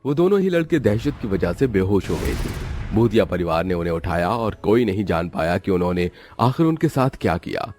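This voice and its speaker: Low-voiced, animated male storyteller. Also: brisk, faint music behind. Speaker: story narrator. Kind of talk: scripted dramatic storytelling narration.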